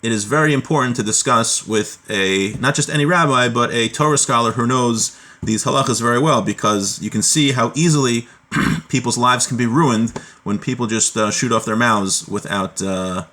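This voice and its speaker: Man, medium pitch, religion teacher, informal lecture style, explanatory, conversational. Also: moderate pace, bright, forceful tone. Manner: explanatory, conversational, often checking with "right?". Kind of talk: informal lecture, reading Hebrew text aloud and explaining it.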